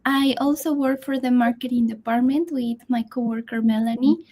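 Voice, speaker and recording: A woman's voice: on the high side, fairly even intonation, moderate pace, dark tone, slight background noise. A woman who grew up in Bolivia and speaks English as a second language, co-hosting a casual live Q&A in a bubbly, chatty way. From born in Peru, raised in Bolivia. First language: Spanish. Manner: bubbly, chatty, laughs often. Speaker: co-host, marketing staff. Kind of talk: casual livestream Q&A chat.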